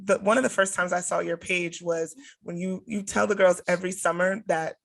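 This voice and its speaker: Creaky-voiced woman hosting a casual chat. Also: fairly low pitch, fairly even intonation, a little fast. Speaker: podcast host.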